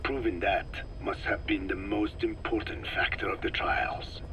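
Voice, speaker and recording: An actor playing a solemn, elderly Navajo scientist in a video game, speaking in a breathy whispery rasp with halting, measured phrases. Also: male voice, on the low side, fairly even intonation, bright, forceful tone, slight background noise. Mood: grave, explaining. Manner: slow, measured, halting delivery in short phrases. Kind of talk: dramatic video game dialogue.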